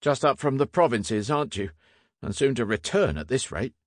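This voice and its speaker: A man's voice: medium pitch, big pitch swings, moderate pace, no echo, studio quality, pitched above the performer's own voice. A man voicing a dour, rail-thin Welsh police constable in an audiobook, dryly reproving.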